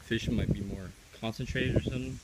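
A man's voice: lowish voice, fairly even intonation, brisk, very noisy. A young man in his teens, recording himself on a phone by a small creek. He narrates a fishing trip in a rambling, easygoing way, often saying he isn't sure. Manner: rambling, laid-back, hedging with "I don't know". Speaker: fishing vlogger. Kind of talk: casual vlog commentary. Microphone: phone held at arm's length.

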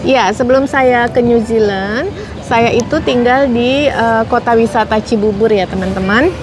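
A woman's voice: fairly high pitch, normal speed. A woman in her forties from Indonesia, chatting casually to viewers on a city street and answering their comments, with many fillers, through a lapel mic. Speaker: vlogger. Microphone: clip-on lapel mic. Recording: outdoor street noise behind.

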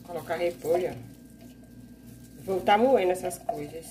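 A woman's voice: lowish voice, a little slow, slight background noise. A woman chatting casually to her viewers while she cooks in a small home kitchen, filmed on a phone. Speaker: vlogger cooking at home. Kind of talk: casual cooking vlog narration. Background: loud sizzling pan and kitchen clatter.

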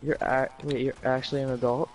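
A man's voice: mid-range voice, flat delivery, a little fast, a little hiss or hum. A teenage boy playing a video game and chatting with a friend, boastful and teasing. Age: teens. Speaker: streamer friend. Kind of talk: casual gaming chat.

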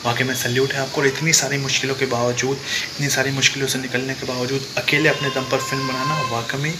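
Man, 20s, from Uttar Pradesh, monotone, steady praise, phone video, noisy. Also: mid-range voice, fast talker. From Uttar Pradesh, India. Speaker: fan sending a video message. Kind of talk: selfie video message. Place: indoors against a plain wall. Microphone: phone camera at arm's length. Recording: phone video with background noise.

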